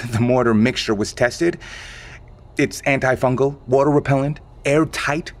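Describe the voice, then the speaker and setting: An actor playing an evasive male insider who calmly explains a secret catastrophe in an audio drama, in a measured, matter-of-fact way. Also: mid-range voice, lightly acted. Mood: expository, intrigued.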